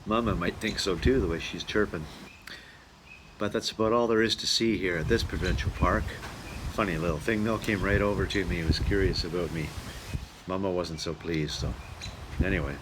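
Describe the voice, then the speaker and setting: A man's voice: low voice, normal speed, background noise. A man who works as a touring entertainer, narrating his travels in a casual vlog style. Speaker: touring musical entertainer and host. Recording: echoey room, music behind.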